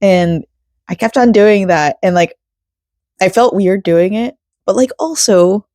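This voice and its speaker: Hoarse american lady in her 30s, low mellow voice, rambly vlog chat, dry close sound. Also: unhurried, no room sound. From Florida, United States. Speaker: vlogger.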